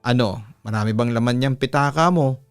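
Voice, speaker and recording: A man's voice: medium pitch, brisk, smooth, dry recording, soft background music, natural voice. Man voicing a sassy, seductive Filipina bar hostess. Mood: teasing, seductive. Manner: teasing, seductive.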